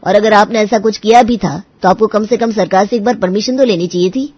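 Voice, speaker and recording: A woman's voice: mid-range voice, brisk, a little mellow, slightly rough, no echo, highs cut off, close to an everyday voice. A woman playing a stern, scolding male government official handing out fines in a cartoon story. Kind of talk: Hindi moral story cartoon acting.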